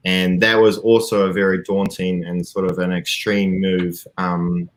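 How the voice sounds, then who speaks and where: A man's voice: sits low, flat delivery, measured pace. A young man from New Zealand, talking over a video call as an interview guest, thoughtful with frequent ums and restarts.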